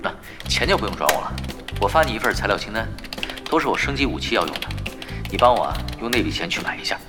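An actor playing a dry, unbothered, teasing gamer with an offhand manner in a Chinese audio drama. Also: male voice, fairly low pitch, sing-song, quick pace, over music. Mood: wry, casual. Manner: offhand, casual.

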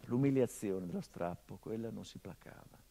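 A middle-aged actor playing a grieving son whose father was murdered, speaking a theatrical monologue in a measured, grave, introspective way. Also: on the low side, vocal fry, dark tone.

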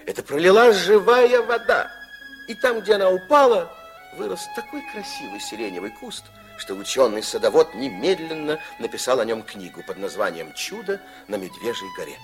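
A man narrating a children's radio play in an expressive storybook style, with dramatic pauses. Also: on the high side. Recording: old 1960s radio recording with music.

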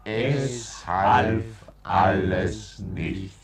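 A man's voice: fairly low pitch, extremely slow, a loud crowd. An actor playing a scornful gossiping townsman who tells the tale in a 1940s radio play.

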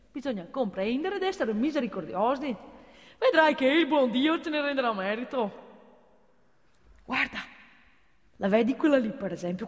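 An actress playing a pious, chatty do-gooder with a knowing, teasing streak in a theatrical radio monologue. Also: female voice, on the high side. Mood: sly, teasing. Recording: reverberant theatre hall.

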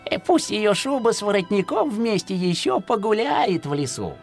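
An actor playing a stooped, folksy old peasant hunter in a children's audio play, grumbling yet kindly and muttering to himself. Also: male voice, high voice, very animated, normal speed, faint music behind.

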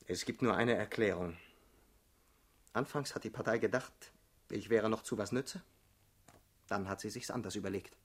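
An actor playing a bitter, talkative young ex-convict party man in a German radio drama, husky and ironic. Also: lowish voice, normal speed, pauses often, fairly bright tone. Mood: brooding, bitter.

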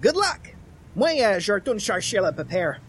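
Actor as a high-pitched, lively anglophone guy. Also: measured pace, slight background noise. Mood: casual explaining. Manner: mixes English phrases into casual French. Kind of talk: comedy radio play acting.

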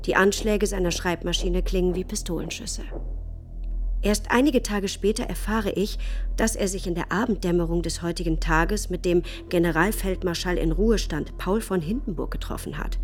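An actress in a radio drama playing a writer's resolute, sceptical wife, speaking in a pointed, sober way. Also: female voice, fairly low pitch, natural voice. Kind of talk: radio drama dialogue and diary narration.

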